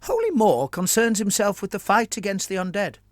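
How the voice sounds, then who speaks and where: A man voicing a calm, evasive priest who rambles in measured, placating speech. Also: sits high, no room sound, clean studio sound, voice pushed up. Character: evasive scheming male priest, calm and wordy.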